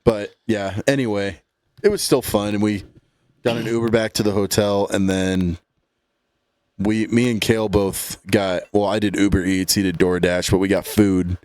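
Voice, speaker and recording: A man's voice: lowish voice, fairly even intonation, normal speed, a little mellow, no echo. A man in his late twenties co-hosting a podcast, telling a story in a laid-back, rambling way. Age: late 20s. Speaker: podcast co-host. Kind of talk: casual chat.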